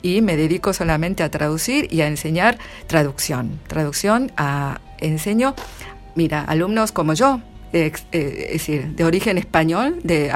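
A middle-aged woman with an Argentine accent, a literary translator chatting in an interview with many restarts and frequent laughs. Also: sits low. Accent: Argentine Spanish. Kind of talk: casual interview answers.

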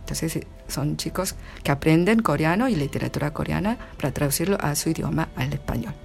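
A woman's voice: low voice, animated, fairly quick, vocal fry, a little mellow, soft background music. A middle-aged woman with an Argentine accent, a literary translator chatting in an interview with many restarts and frequent laughs. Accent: Argentine Spanish. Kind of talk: casual interview answers.

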